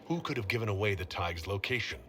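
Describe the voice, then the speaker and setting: An actor playing a composed, commanding leader in a fantasy video game, speaking in a measured, authoritative way. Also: male voice, on the low side, lively intonation, bright, forceful tone, slight background noise. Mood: questioning, resolute.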